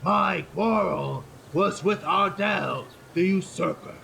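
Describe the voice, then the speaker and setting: A man playing a pompous nobleman in a fantasy audio drama, making grand, formal, drawn-out proclamations. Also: sits high, gravelly, pushed and bright, a little hiss or hum, exaggerated voice. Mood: pompous, declamatory. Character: pompous nobleman, self-declared lord regent, theatrically addressing a spirit.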